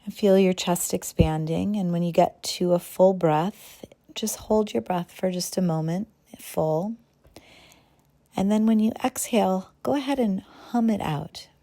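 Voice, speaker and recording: A woman leading a guided meditation as host, speaking gently and unhurriedly in short phrases with pauses between them. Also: medium pitch. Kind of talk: guided meditation, slow soothing narration.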